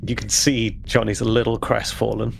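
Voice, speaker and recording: A man's voice: fairly low pitch, level tone, moderate pace, vocal fry, a little hiss or hum. A man casually narrating his own character's actions as a player in a tabletop game.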